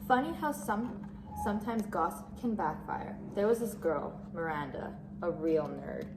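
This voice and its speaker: A woman's voice: lowish voice, animated, a little slow. A woman playing a mean, scheming, snobbish teenage cheerleader with a catty, scornful manner in amateur drama. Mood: gloating, catty. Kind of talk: amateur dramatic monologue reading. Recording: echoey room with clear noise.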